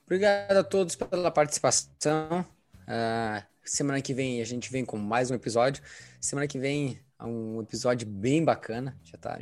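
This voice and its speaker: A man's voice: mid-range voice, a little mellow. A man co-hosting a podcast, making brief upbeat remarks. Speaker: podcast co-host. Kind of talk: casual podcast chat.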